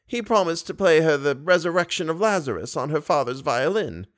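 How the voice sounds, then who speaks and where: A man's voice: fairly high pitch, animated, a little fast, voice pushed up, lightly acted. A man reading aloud, voicing a kindly, bedridden old woman who speaks with simple, cheerful faith. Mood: earnest.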